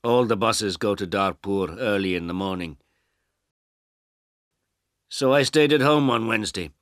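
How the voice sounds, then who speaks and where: Male narrator, low voice, dry close sound, scripted learner story, deliberate short phrases. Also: fairly bright tone, no echo. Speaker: audiobook narrator.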